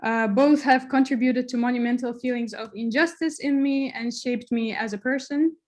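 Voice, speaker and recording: A woman's voice: fairly high pitch, normal speed, a little mellow. A woman from Azerbaijan moderating an online meeting over a video call, delivering an earnest prepared introduction.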